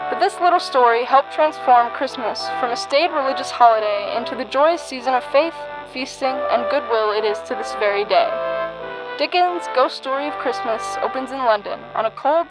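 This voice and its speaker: A woman's voice: fairly high pitch, poor audio. A woman announcer reading a scripted introduction to a radio play. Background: loud instrumental music.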